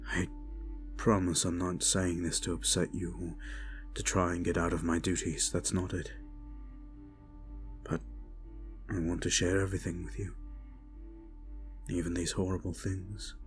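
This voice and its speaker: A weary knight captain of a fantasy war drama, acted by a man. His voice sits fairly low. He speaks in measured, formal phrases, solemn and haunted. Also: little pitch movement, faint music behind. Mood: haunted, somber. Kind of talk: fantasy audio drama acting.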